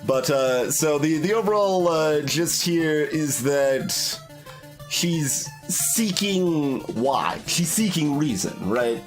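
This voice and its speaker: A man's voice: on the high side, lively intonation, slow pace. A man giving casual, analytical game commentary, thinking aloud in a hesitant way. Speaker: video game streamer. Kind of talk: casual analytical live playthrough commentary with poem read aloud. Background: music.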